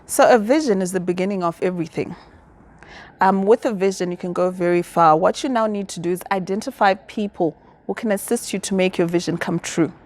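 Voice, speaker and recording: A woman's voice: on the low side, brisk, a little mellow. A confident, articulate woman from Zimbabwe, answering interview questions about business and activism. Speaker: guest.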